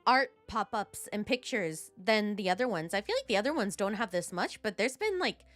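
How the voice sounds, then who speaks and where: A woman giving casual live commentary on a game playthrough, playful and excitable. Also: medium pitch, normal speed, no echo, soft background music. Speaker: game streamer.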